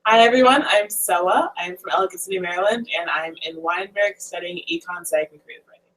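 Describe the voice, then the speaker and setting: Young woman, bright and high, relaxed chatty panelist, mic at a distance. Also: a little fast. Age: young adult. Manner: easygoing, says "like" often. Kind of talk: casual video call chat.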